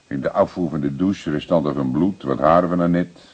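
A seasoned male detective in a radio play, with a very deep voice. He is calm, persistent and dryly matter-of-fact, roughened by huskiness. The recording is dull and muffled. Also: a little fast. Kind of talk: radio drama acting.

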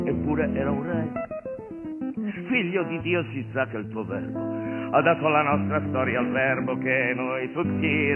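Guy doing theater monologue, wry then solemn, tinny phone-ish audio, loud guitar behind him. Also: on the high side. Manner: wry, declamatory, moving between offhand and solemn. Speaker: stage actor and monologist. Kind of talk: theatrical monologue with asides to the audience. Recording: thin phone-like sound with loud music. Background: live guitar music.

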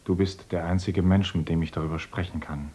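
A man's voice: low voice, fairly even intonation, fairly quick, dark tone, husky. An actor playing an idealistic young male teacher in a radio play, earnest and lecturing. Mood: gentle, earnest. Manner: earnest, lecturing, grows fervent. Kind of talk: radio drama acting.

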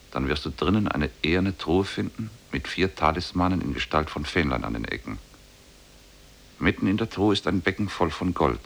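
A cunning demon in ape form in an old radio drama. The voice is extremely low and husky, with lively swings of pitch. It instructs deliberately and commandingly. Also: male voice, exaggerated voice. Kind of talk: 1950s German radio play acting.

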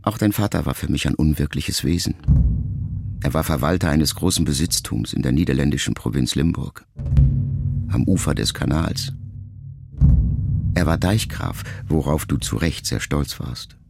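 Deep creaky-voiced man as a brooding grown son talking quietly reflective to his dying mother, radio play, music behind. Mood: pensive, restrained. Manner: reflective, measured, questioning. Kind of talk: intimate first-person literary monologue in a radio drama.

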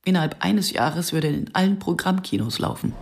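An actress playing a wary, wry Israeli woman who narrates her own story in a radio drama, with a gravelly voice. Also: on the low side, lively intonation, brisk. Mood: wry narration. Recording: noisy background ambience.

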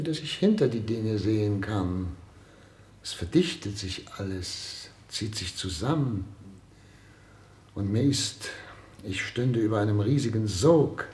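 An imperious, haunted ruler in a radio drama, brooding and agitated. He speaks very slowly, with wide swings of pitch, in a roomy echo. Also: darkish tone. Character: anxious, sleepless, brooding male head of state. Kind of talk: German radio play acting.

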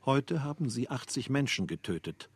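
Husky man, a proud factory worker. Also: mid-range voice, lively intonation, normal speed, dry recording. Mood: earnest. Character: earnest, proud male factory worker defending labour. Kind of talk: literary radio play narration.

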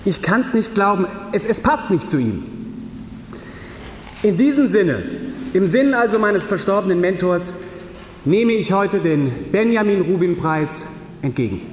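Radio play: male writer's award speech, raised high voice, declamatory, reverberant hall. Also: animated, measured pace, pauses often. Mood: solemn, moved. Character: writer giving a formal award acceptance speech. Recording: echoey hall with faint noise.

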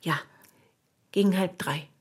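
A woman's voice: lowish voice, takes it slowly, husky. A woman playing a gossiping but dutiful hotel maid in a radio drama, confiding and matter-of-fact. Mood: confiding, earnest.

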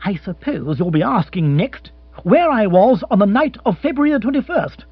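A husky, echoey, muffled storybook portrayal of an exasperated young aristocrat, acted by a man. The voice is high and leaps about as he blusters in exclamatory slang. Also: sing-song, moderate pace, faint music behind, exaggerated voice. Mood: indignant. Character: exasperated young English lord, blustering and incredulous. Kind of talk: comic audiobook character acting. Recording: muffled, echoey recording with hum.

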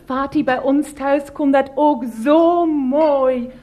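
A woman's voice: sits high, fairly even intonation, takes it slowly, warm tone. An actress playing a gushing, sentimental, fussily sociable woman in a radio comedy drama, prattling cosily. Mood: chirpy, fussing. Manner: prattling, cosy, nostalgic.

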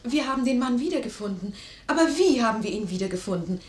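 A woman's voice: on the high side, lively intonation, quick pace, roomy sound, lightly acted. An actress playing a kind, pert young lady's maid and confidante in classical radio drama style, practical and sympathetic. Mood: compassionate. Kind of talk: classical stage-play radio acting.

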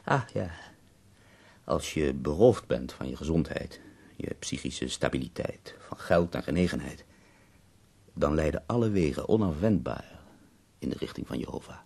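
Very deep, gravelly dying poet. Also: male voice, lively intonation, moderate pace, a little mellow, full character voice. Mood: resigned, sardonic. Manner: theatrical, ornate, ironic phrasing. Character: bedridden dying male poet, wry, morbid, eloquent. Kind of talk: radio drama acting.

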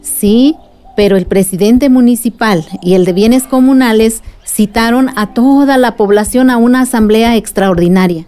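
A woman's voice: fairly high pitch, normal speed, mellow, faint music behind, lightly acted. A woman playing a patient storyteller who explains events to a child in a radio drama. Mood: patient, serious.

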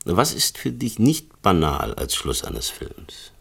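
Deep-voiced, curt film director. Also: male voice, wildly swooping pitch, takes it slowly, vocal fry, a bit bright, husky, exaggerated voice. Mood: wistful, firm. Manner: curt, insistent, commanding. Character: gruff, sentimental film director, a grown man. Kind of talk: German radio drama acting.

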